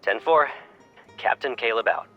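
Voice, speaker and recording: A man acts out a young male pilot cadet, affectionate and teasing, in a romantic game story. His voice is mid-pitched for a man, with music behind it. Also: measured pace, muffled recording, natural voice. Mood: playful. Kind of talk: romantic game fan dub acting.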